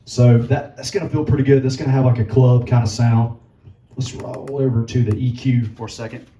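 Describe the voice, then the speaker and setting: A man with a low voice giving a casual tutorial, talking through settings as he adjusts them. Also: a little fast, highs cut off. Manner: relaxed, talks while adjusting settings. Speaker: musician demonstrating gear.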